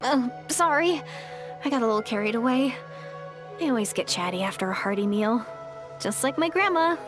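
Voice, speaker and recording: A woman's voice: fairly high pitch, expressive, moderate pace, close to an everyday voice. An actress playing an earnest, dreamy young village woman adventurer in a video game, speaking sincerely. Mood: sheepish. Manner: sincere, wistful storytelling. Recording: music playing behind.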